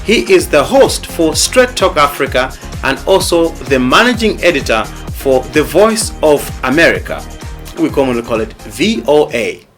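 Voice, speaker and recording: Male host, somewhat high voice, eager and responsive, faint music underneath. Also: sing-song, fairly bright tone. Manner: eager, quick interjections and affirmations. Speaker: talk show host. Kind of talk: conversational interview.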